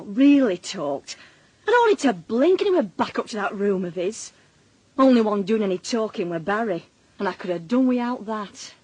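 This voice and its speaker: A middle-aged working-class Northern English wife and mother in a radio drama. She is plaintive and nagging, her high voice rising and falling expressively. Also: a little mellow, breathy edge.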